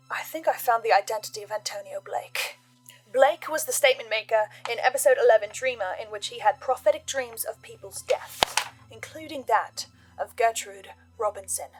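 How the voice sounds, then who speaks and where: A woman hosting a scripted horror analysis, speaking as an investigator in a measured, deliberate way with dramatic pauses. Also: fairly high pitch, husky, rough recording. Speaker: host of a fan theory channel. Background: music.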